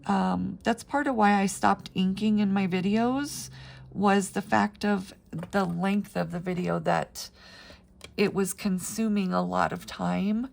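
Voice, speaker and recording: A middle-aged woman, raspy from the flu, chatting her way through a paper crafting tutorial with plenty of ums. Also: mid-range voice, steady tone, takes it slowly. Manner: chatty, rambling with frequent ums. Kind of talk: casual step-by-step craft tutorial.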